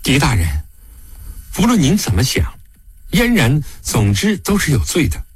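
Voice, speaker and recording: A man narrating an audiobook, voicing a sorrowful, devoted young woman prisoner who speaks softly, haltingly and pleadingly. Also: a little high, wildly swooping pitch, husky, no room sound, pitched above the performer's own voice, heavily acted. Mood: quietly resolute.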